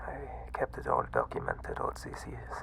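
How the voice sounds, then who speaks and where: A man voicing a frail, obsessive mad scientist with a breathy, halting delivery in an ASMR horror roleplay. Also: a bit bright, natural voice. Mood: secretive, persuasive. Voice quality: breathy, whispery. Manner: halting, theatrical. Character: weak, obsessive mad scientist doctor running a monster containment site.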